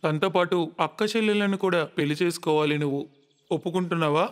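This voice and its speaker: An actor voicing stern, dramatic men in a cartoon moral tale, theatrical and emphatic. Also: male voice, a little high, fairly even intonation, brisk, natural voice. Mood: stern, demanding. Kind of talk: dramatic cartoon story dialogue. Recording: long reverberant echo.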